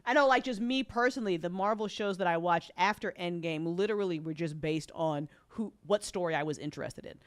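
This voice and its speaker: A woman's voice: lowish voice, fairly quick, hardly any pauses. A woman talking casually and bluntly on a panel as host, with emphatic opinions and free swearing.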